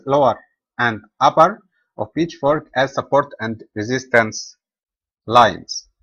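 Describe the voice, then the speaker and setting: Man from Egypt, webinar host, steady lecture, dull dry lo-fi recording. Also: medium pitch, no room sound.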